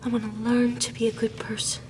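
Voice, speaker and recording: An actress playing a naive, guilt-ridden young captive who whispers in a hesitant, childlike, pleading way in an audio drama. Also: female voice, fairly high pitch, completely flat delivery, brisk, background noise, lightly acted. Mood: anxious, remorseful. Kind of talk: audio drama acting, hushed confiding.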